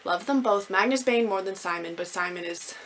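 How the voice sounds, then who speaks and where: A young woman chatting casually to camera as a book vlogger, animated, gushing and poking fun at herself. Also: mid-range voice, brisk, slight background noise. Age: young adult. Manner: animated, gushing, self-mocking. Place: small room at home with bookshelves.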